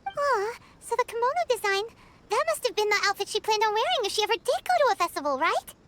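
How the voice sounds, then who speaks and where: A woman voicing a small, childlike fairy companion in a video game, squeaky, perky and eager. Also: big pitch swings, fast talker, fairly bright tone, a little hiss or hum, exaggerated voice. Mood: curious, supportive. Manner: perky, refers to self in third person. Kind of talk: video game character acting. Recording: music behind the voice.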